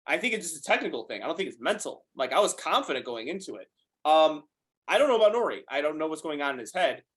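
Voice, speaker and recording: A man in his late twenties talking powerlifting on a podcast, quick and animated, cursing casually. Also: a little high. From United States. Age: 20s. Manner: fast, animated, swears freely. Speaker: guest powerlifter.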